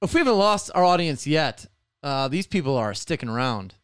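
Young man, hungover, a bit hoarse, crude joking banter, clear voice, dry close sound. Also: a little high, sing-song, brisk, fairly bright tone, no room sound. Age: 20s. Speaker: podcast host. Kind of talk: casual crude chat.

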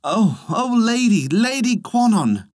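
A storyteller voicing a poor, pious, excitable peasant man who exclaims in old-fashioned storybook phrases. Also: medium pitch, a little slow, no echo, studio quality, pitched above the performer's own voice. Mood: joyful awe. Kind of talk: storybook reading.

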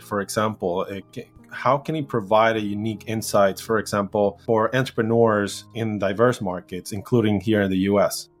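A man hosting an interview, thanking his guest warmly and asking long questions full of fillers. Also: on the low side. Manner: appreciative, with frequent fillers. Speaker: podcast host.

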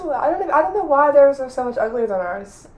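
A young woman co-hosting a casual podcast, blunt and joking, and a little hoarse from losing her voice. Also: high-pitched, sing-song, fast, darkish tone. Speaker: podcast co-host. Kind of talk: casual chat. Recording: echoey room.

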